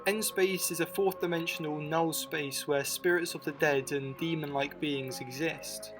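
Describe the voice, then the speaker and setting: A man with a slightly high voice reads scripted documentary narration. He keeps it informal and matter-of-fact, and soft music plays behind him. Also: fairly even intonation, a little slow. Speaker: video essay narrator. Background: music bed.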